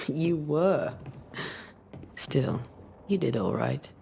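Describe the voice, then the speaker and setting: An actress playing a wistful, wryly teasing veteran ghost hunter in a video game, with a measured, reflective delivery. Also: female voice, sits low, lively intonation, vocal fry, warm tone, a little hiss or hum, full character voice. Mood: fond, teasing. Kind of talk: cinematic game dialogue.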